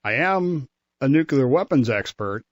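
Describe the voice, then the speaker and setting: Dry-sounding American man, slightly low voice. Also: expressive, measured pace, no room sound, lo-fi sound. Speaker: history segment co-host. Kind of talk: casual radio talk.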